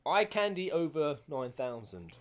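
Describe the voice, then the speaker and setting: A man's voice: a little high, sing-song, measured pace. A chatty man commenting on a game as he watches, joking and wondering aloud. His voice moves up and down a lot as he talks. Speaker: reaction video host. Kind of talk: casual reaction commentary.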